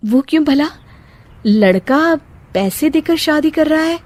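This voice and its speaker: Lady doing a upset middle-aged mom, pitched up, pleading and indignant, storybook drama. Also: expressive, unhurried, a little mellow. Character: distressed, indignant middle-aged mother of the family. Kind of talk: Hindi moral story dramatization.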